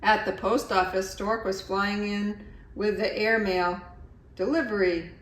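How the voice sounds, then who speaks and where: A woman's voice: mid-range voice, little pitch movement, a little slow, a bit bright, far from the mic. A middle-aged woman reading a children's story aloud expressively, as a storyteller. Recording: echoey room, faint background noise.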